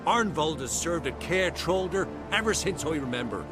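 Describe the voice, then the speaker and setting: A man's voice: fairly high pitch, lively intonation, moderate pace, over music, lightly acted. An actor playing a contemptuous clansman in a fantasy game, in dramatic style. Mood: scornful. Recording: dramatic score behind dialogue.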